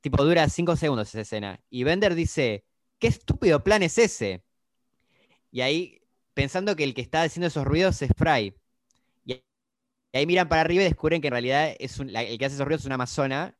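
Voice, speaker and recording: A man's voice: a little high, lively intonation, brisk, no echo. Argentine man recounting scenes. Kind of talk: casual chat.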